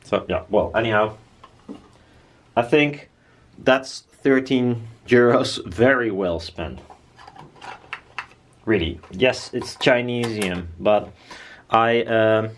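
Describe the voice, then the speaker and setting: A man talking casually and bluntly as he presents his own DIY build, rambling informally. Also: medium pitch. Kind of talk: casual workshop chat.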